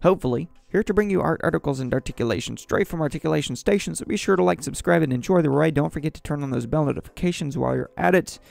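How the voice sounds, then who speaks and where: A young man with a sore, raspy throat hosting a solo news commentary podcast from a small home studio, chatty with wry asides. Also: a little high, fast, few pauses, a little mellow. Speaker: podcast host. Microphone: studio mic on boom, headphones.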